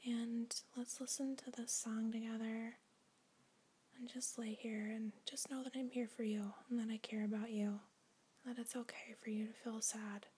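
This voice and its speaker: A woman doing a caring-friend ASMR role-play, speaking gently and soothingly in soft, breathy whispers. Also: on the high side, flat delivery, moderate pace.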